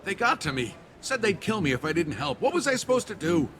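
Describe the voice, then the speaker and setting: A fugitive traitor in a game drama, weighed down by guilt. His voice is fairly low, defensive and haunted. Noisy ambience runs clearly behind him. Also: lively intonation, normal speed, fairly bright tone. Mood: panicked, pleading. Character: guilt-ridden, evasive male traitor in hiding. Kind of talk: video game dialogue acting.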